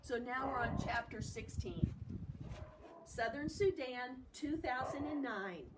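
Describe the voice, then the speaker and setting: An American woman reading a story aloud like a teacher, expressive and explanatory, breaking off for casual commentary. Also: high-pitched. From United States. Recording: distant mic, noisy room ambience.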